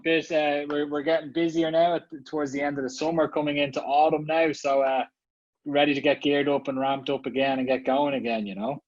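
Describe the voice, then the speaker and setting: A man's voice: fairly high pitch, little pitch movement, brisk, dry recording, muffled recording. A man with an Irish accent, a marketing executive speaking casually as a guest at an online summit, talking with many fillers and restarts. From Ireland. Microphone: video call.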